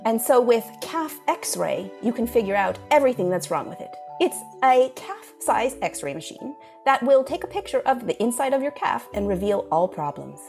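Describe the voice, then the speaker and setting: Lively, high-voiced woman improvising a mock advert. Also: normal speed, gravelly, few pauses, faint music behind. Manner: mock-earnest expert pitch. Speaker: improv comedy performer. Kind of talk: improvised parody radio commercial.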